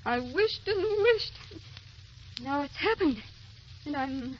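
A plaintive young wife with a high, girlish pitch, anxious and tender in old-fashioned radio acting. The recording is muffled and hissy, with crackle behind her. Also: expressive, slow. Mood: distressed, confiding. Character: fearful, loving young wife who never ages. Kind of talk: 1930s-40s radio drama acting.

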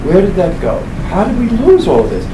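A man's voice: sits high, wildly swooping pitch, moderate pace. Older man lecturing in an echoey room. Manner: emphatic, repeats phrases for emphasis. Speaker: professor presenting a book. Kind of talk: informal public lecture. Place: small indoor event room. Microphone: camera a few metres away. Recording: echoey room, distant mic, background hum.

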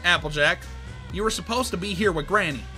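Male reader as a stern farm mare, firm and bright. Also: high voice, a little fast, faint music behind, voice pushed up. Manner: stern, disappointed. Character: grown farm mare, stern older sister.

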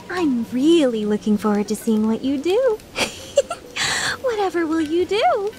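A woman's voice: a little high, sing-song, normal speed. A woman voicing a playful, taunting young mercenary villain in a game fan dub, sardonic and mock-sincere. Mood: gleeful taunting.

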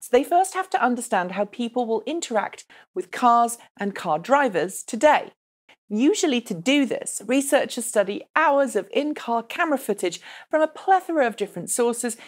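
A woman in her thirties narrating a scripted news explainer in an articulate, measured presenter's delivery. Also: medium pitch, a little mellow. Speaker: presenter of an online transport news show.

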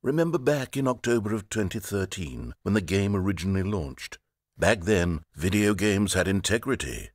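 A man's voice: deep voice, lively intonation, normal speed, no echo. A man playing a pompous, self-important video game narrator who is easily rattled, with a theatrical delivery. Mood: nostalgic. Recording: dry studio recording.

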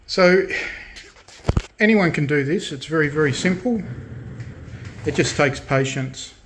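A man hosting a casual hobby restoration demonstration, speaking unscripted in a halting, matter-of-fact way. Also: on the high side, lively intonation, slight background noise. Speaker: host of a hobby radio restoration channel. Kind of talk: casual hands-on demonstration talk. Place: indoors at a workbench in a room.

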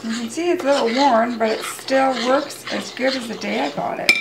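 High-voiced woman in a noisy kitchen. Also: normal speed, creaky. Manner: chatty, step-by-step instruction. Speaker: home cook hosting a cooking show. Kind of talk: casual cooking demonstration. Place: home kitchen, indoors. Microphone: camera mic at a few metres. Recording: distant, roomy, loud kitchen clatter and noise.

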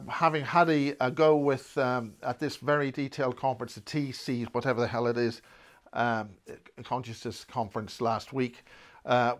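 A man's voice: mid-range voice, no echo. Older man, rambling host. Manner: rambling, hesitant with many fillers. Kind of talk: casual video blog chat. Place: indoor studio, then outdoors by a road.

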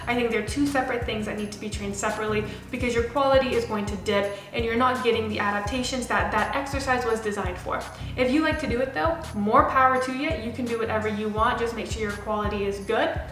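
A young woman speaks in a fairly high voice, explaining training casually and directly like a fitness coach. She is recorded in a bare, echoey room, with music underneath. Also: quick pace, fairly bright tone. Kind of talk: explanatory talking-head fitness advice to camera. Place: small bare room indoors with a window. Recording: roomy indoor echo, music underneath. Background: background music.

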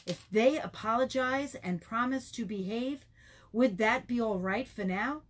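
A woman reading a children's story, voicing a firm, fair young male schoolteacher in a measured, earnest way. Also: fairly high pitch.

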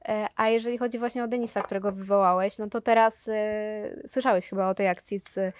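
A woman's voice: medium pitch, fairly even intonation, a little fast, dry recording. A young woman hosting a sports interview, asking brief prompting questions from a studio sofa. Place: studio set with sofas, indoors.

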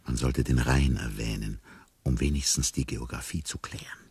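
An actor playing a bewildered amnesiac man who muses wryly and deliberately in a radio drama monologue. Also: extremely low pitch, fairly even intonation, darkish tone, husky, dry recording, full character voice. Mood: uneasy, wry. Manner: deliberate, musing, wry. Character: bewildered amnesiac man narrating his inner thoughts.

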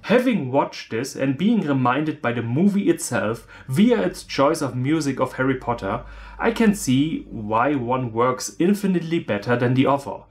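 Sardonic German man with lively pitch. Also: moderate pace. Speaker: film reviewer. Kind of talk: scripted humorous film review.

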